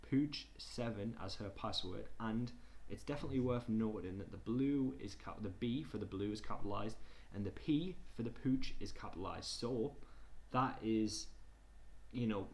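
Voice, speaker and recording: A man's voice: on the low side, moderate pace, a little mellow. A man tutoring in an informal online lesson, reading slides aloud and commenting in a hesitant, conversational way, often repeating himself. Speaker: tutor presenting a digital skills session. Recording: clear background noise.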